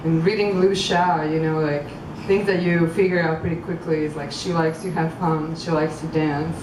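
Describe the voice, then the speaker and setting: A woman from Croatia reading poems at a public event, halting and thoughtful between poems and measured while reading. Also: deep voice, level tone. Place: outdoor public venue. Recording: echoey, far from mic, street noise.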